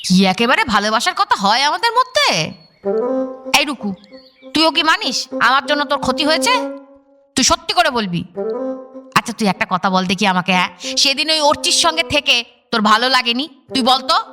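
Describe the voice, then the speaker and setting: A woman acting a sharp-tongued, teasing ghost aunt in a radio drama, with colloquial, mocking scolding. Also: high voice, big pitch swings, moderate pace, long pauses, soft background music, exaggerated voice. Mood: mocking, indignant.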